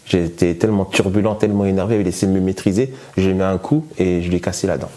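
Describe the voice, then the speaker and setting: Deep-voiced man, halting testimony. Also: dark tone, a little hiss or hum. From France. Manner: hesitant, many fillers and restarts. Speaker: guest giving testimony. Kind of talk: conversational personal testimony.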